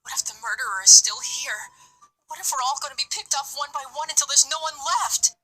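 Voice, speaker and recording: A man voicing a nervous, soft-spoken young male college student in a visual novel game, with a breathy, hesitant delivery. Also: very high voice, a little fast, soft background music, voice pushed up, exaggerated voice, low-quality recording. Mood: frightened. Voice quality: breathy, whispery.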